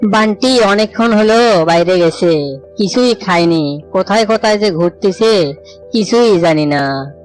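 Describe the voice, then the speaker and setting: A woman's voice: mid-range voice, unhurried, faint music behind, close to an everyday voice. A woman voicing a poor, sick father bird in a cartoon story, sorrowful and resigned. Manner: sad, resigned.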